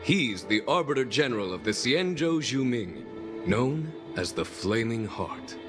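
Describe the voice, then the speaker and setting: An actor playing a composed, gracious general in a video game, with a polished, formal and genial delivery. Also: male voice, mid-range voice, big pitch swings, measured pace. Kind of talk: English game voice acting. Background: game music.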